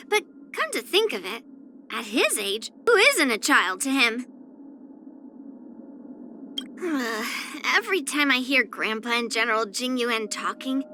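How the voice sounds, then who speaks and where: A woman's voice: high voice, bright, forceful tone, exaggerated voice. A woman voicing a spirited, proud young swordswoman in an anime-style video game, speaking in an earnest, blunt, slightly pouty way. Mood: pouty, complaining.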